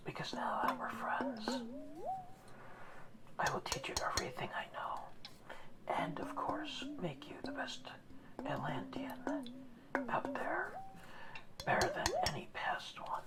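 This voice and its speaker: An old, gentle male orca guardian voiced by a woman whispers in this ASMR roleplay. The whisper sits high and wanders widely in pitch, unhurried and soothing. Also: big pitch swings. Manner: soft whisper, slow and reassuring.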